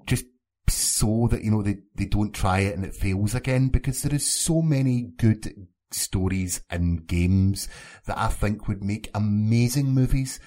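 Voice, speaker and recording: A man's voice: fairly low pitch, warm tone. A man hosting a casual podcast chat, giving his opinions and putting questions to his co-hosts. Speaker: podcast host.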